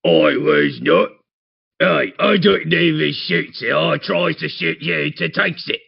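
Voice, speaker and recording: A man voicing a drunken hired thug in a tabletop game, gravelly and slurred with broken grammar. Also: on the high side, very animated, takes it slowly, dull audio, pitched above the performer's own voice, heavily acted. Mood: drunken, argumentative. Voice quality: gravelly, husky. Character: drunken male thug with a hired-killer contract.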